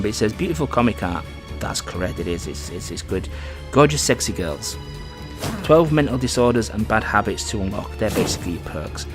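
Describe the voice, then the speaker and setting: Lowish-voiced man reviewing games. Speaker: game reviewer and host. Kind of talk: casual video game review commentary. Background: music.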